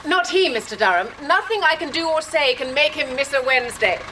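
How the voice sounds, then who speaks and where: A woman's voice: sits high, lively intonation, brisk, pushed and bright, a little hiss or hum, poor audio. An actress playing a genial, teasing middle-aged English mother in a radio drama, with an English accent.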